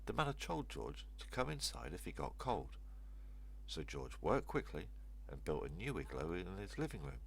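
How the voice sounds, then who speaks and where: A man's voice: low-pitched, sing-song, moderate pace, gravelly, a little hiss or hum. An older man narrating a children's story aloud as a storyteller, in short simple sentences.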